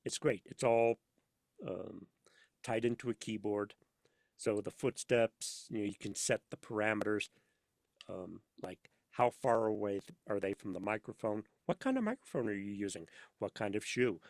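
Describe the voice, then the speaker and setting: A man chatting casually as an interview guest, telling anecdotes in an animated way and acting out bits of dialogue. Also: on the low side, normal speed, dry recording. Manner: animated storyteller, acts out imagined dialogue. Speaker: podcast guest, sound designer for audio dramas. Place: inside a studio.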